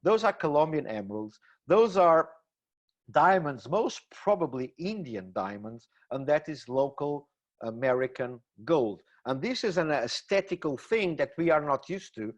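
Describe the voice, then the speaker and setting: Enthusiastic Portuguese-accented lecturer, dull webinar audio. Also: male voice, fairly high pitch, expressive, unhurried. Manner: enthusiastic, exclamatory. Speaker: gemmology lecturer. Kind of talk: webinar presentation.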